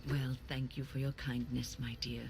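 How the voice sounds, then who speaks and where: An actress playing an older woman mage in a fantasy video game, speaking in formal, measured phrases as an earnest, kindly counselor. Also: very deep voice, flat delivery, background noise. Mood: kindly, encouraging. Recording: game music behind the voice.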